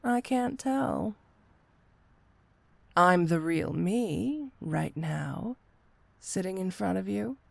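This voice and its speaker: A woman's voice: medium pitch, lively intonation, vocal fry, dry recording, heavily acted. A woman playing a flirty, teasing supervillain cat burglar in an ASMR roleplay audio drama, with a coy, seductive delivery. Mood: musing, probing. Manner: coy, teasing, drawn-out delivery with rhetorical tag questions.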